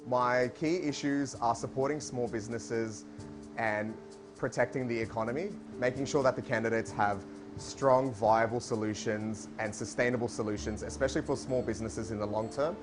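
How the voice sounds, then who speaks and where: Fairly low-voiced young man over music. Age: early 20s. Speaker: young voter interviewee. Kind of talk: interview answers.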